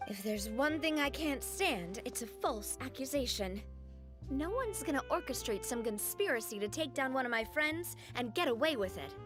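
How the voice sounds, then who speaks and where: A spirited young lady boss in an anime video game. Her voice is high and swings widely in pitch, emphatic and theatrical, with music behind it. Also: animated, normal speed. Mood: fierce.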